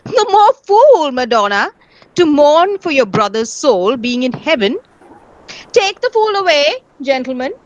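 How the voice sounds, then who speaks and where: A woman's voice: high voice, sing-song, a little slow, highs cut off. A woman playing a witty, teasing male court fool in a dramatic reading of Shakespearean comedy, with a mock-solemn, theatrical manner. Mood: mocking, triumphant.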